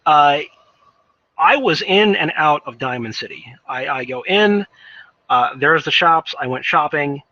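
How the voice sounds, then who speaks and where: A man giving casual, unscripted game commentary in a rambling, halting way, often repeating words as he thinks. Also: a little high, lively intonation, pushed and bright, no room sound, dull audio. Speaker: video game reviewer.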